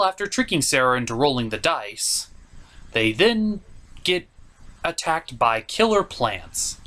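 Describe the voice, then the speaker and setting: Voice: medium pitch, a bit bright. A reviewer giving a scripted comedic movie recap in a sarcastic, mock-exasperated way. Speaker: host reviewer.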